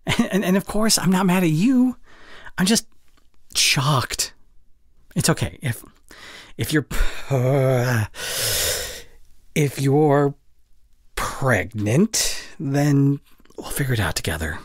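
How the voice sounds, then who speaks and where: A man playing a tender, anxious young boyfriend in an ASMR audio drama, speaking intimately and haltingly with breathy sighs. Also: medium pitch, very animated, no room sound, clean studio sound. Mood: tender, reassuring.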